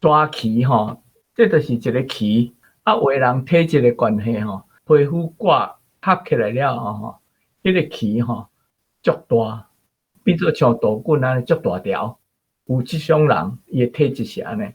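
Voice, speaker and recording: A man's voice: mid-range voice, lively intonation, normal speed, breathy edge. A man with a Taiwanese accent giving an informal explanation as a guest commentator. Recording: muffled, dull audio.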